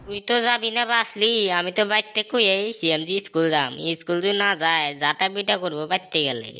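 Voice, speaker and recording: Woman voicing an excitable, sharp-voiced cartoon schoolboy. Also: medium pitch, fairly quick, lightly acted. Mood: grumbling. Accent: rural Bangladeshi Bengali. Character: excitable, grumbling schoolboy sidekick. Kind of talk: comedy cartoon dialogue.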